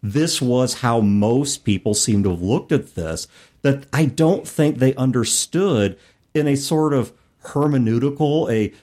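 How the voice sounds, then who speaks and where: A man's voice: mid-range voice, lively intonation, measured pace, mellow. A man hosting a podcast discussion, explaining his points in a wordy, professorial way. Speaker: podcast host. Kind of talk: discussion of tabletop gaming.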